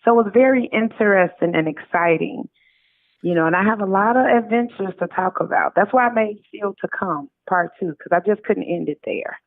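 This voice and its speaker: A woman's voice: medium pitch, moderate pace, no room sound. A middle-aged woman, a guest author, answering interview questions conversationally over a phone-like connection. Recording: thin, narrow phone-like line.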